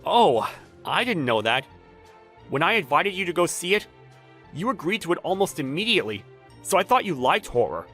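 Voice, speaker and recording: A man's voice: on the high side, sing-song, moderate pace, pauses often, fairly bright tone. A man playing a flustered, jilted bachelor who narrates his awkward romance with dramatic, exasperated inner monologue in a manga dub. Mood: friendly, sheepish. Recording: background music under the voice.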